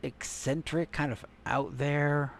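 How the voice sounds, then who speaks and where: A man's voice: on the high side, fairly even intonation, slow, vocal fry, a little hiss or hum. A man casually commenting while browsing articles and images online, musing and trailing off as he searches. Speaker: gaming content creator.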